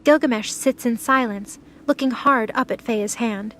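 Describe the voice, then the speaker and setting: A woman's voice: on the high side, moderate pace, fairly bright tone, slight background noise. A woman narrating a scripted light novel audio drama.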